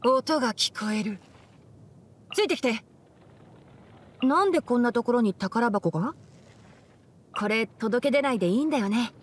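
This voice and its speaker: A woman playing a young male fox-eared forest ranger in a game, bookish and brisk, with a matter-of-fact, helpful manner. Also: fairly high pitch, expressive. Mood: calm, helpful. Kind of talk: Japanese anime-style game character voice lines. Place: studio. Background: background music.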